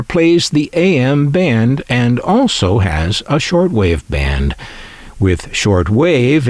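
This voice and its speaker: An older American man narrating a scripted collector's video in a wry, measured, self-deprecating manner. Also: mid-range voice, no room sound. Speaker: host of a vintage radio collecting video.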